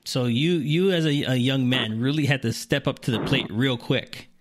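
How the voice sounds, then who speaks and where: A man's voice: mid-range voice, moderate pace. A man hosting a podcast, asking questions in a loose, rambling way. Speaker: podcast host. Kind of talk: casual interview.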